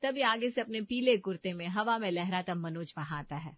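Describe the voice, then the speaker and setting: A woman's voice: mid-range voice, animated, fairly quick, lo-fi sound. A woman reading scripted narration for a comic family story.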